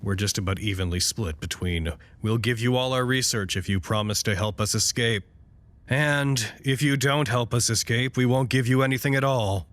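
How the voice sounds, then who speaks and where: A man's voice: medium pitch, moderate pace, fairly bright tone, no echo, lightly acted. A man playing a wry, sardonic stranded doctor in an audio drama, speaking with dry, articulate sarcasm. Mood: wry, weary. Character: wry, sardonic male doctor stranded at a cut-off research site. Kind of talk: audio drama acting, conversational.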